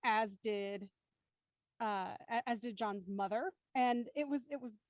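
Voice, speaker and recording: A woman's voice: a little high, slow, dry recording, clean studio sound. A woman hosting a conversational discussion, thinking aloud as she works her way into questions. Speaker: host moderating a post-show discussion.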